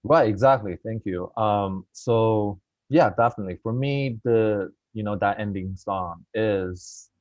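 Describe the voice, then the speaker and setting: Man, low voice, earnest, thoughtful, restarts, dry muffled video call sound. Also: measured pace, hardly any pauses, darkish tone, no echo. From China. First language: Mandarin. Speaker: film director, guest. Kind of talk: reflective interview answers. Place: at home in Beijing, remote call.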